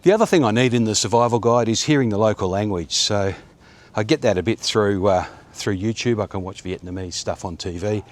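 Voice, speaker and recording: A man's voice: on the low side, a little fast, few pauses. A man in his fifties vlogging while he walks down a suburban street, chatting casually with lots of fillers, recorded on a camera held at arm's length. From Australia. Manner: rambling, chatty, with many fillers. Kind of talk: walk-and-talk vlog. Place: quiet suburban street outdoors, sunny. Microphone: phone or action camera held at arm's length.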